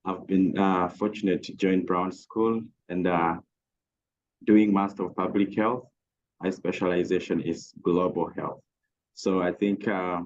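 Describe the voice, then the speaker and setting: A man from Uganda with a very deep voice introduces himself on a panel. He speaks formally and gratefully. The audio is slightly dull. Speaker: graduate student panelist.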